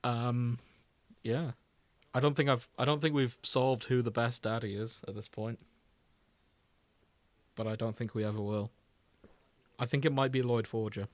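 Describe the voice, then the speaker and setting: A man's voice: medium pitch, fairly even intonation, normal speed, pauses often, dry recording. Man chatting casually as podcast co-host, close dry sound. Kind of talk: casual chat.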